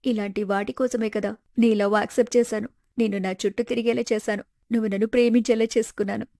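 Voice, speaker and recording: A woman voicing a young woman in an animated moral story, sweet to her lover and calculating underneath. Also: fairly high pitch, quick pace, vocal fry, no room sound, voice pushed down. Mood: smug, calculating.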